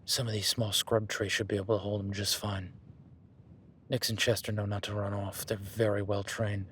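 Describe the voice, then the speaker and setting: A man voicing a rugged, protective cowboy rancher in a listener-addressed audio roleplay, talking in a low-key, calm, instructive way that often drops to a hush. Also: moderate pace, slight background noise, natural voice. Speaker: ranch hand tracking a beast.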